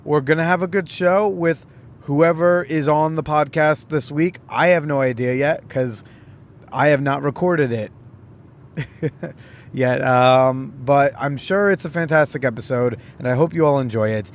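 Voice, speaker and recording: A man hosting a podcast, talking casually in a rambling, halting way with many fillers. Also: fairly high pitch. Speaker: podcast host; comedian. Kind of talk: casual solo monologue.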